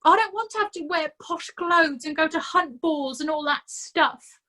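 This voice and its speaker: A woman's voice: sits high, moderate pace, pushed and bright, pitched above the performer's own voice. A woman voicing a scrawny, put-upon male corporal who whines and pities himself. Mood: woeful. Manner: whiny and self-pitying.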